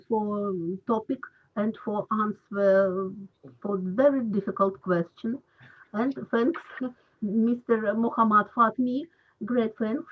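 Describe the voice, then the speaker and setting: Woman hosting an online panel, hesitant English, husky voice on a phone-like line. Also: mid-range voice. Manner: halting non-native English, many fillers. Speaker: round table moderator. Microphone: video call. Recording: husky voice over a tinny call line.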